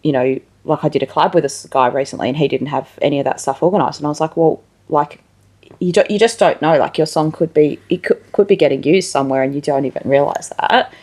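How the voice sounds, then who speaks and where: A woman's voice: low voice, fast, a little mellow. An Australian woman hosting a casual interview, talking in an informal, rambling way. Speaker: interview show host.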